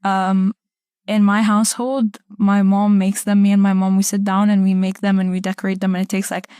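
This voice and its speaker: A woman's voice: mid-range voice, completely flat delivery, a little fast, mellow, smooth, no echo, clean studio sound. A young woman from Egypt hosting a podcast in a casual, conversational style. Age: young adult. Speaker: podcast host.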